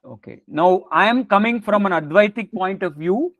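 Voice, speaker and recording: A man's voice: high voice, animated, normal speed, no echo. A man whose first language is Tamil, patiently explaining philosophical terms in an informal lecture over a video call. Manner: patient, explanatory, gently joking. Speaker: teacher of a lecture series. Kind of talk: informal spiritual lecture with questions.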